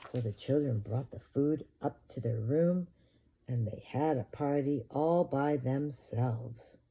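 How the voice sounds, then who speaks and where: A woman's voice: a bass voice, slow, warm tone, slightly rough. A woman preschool teacher reading a picture book aloud expressively and talking directly to young children. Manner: addresses children directly with simple questions.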